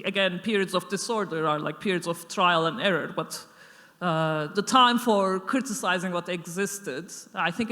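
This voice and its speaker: A woman's voice: fairly low pitch, fairly bright tone. A middle-aged woman lecturer answering audience questions off the cuff, thoughtful, with many fillers and restarts. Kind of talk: unscripted Q&A answer. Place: lecture hall. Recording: echoey lecture hall.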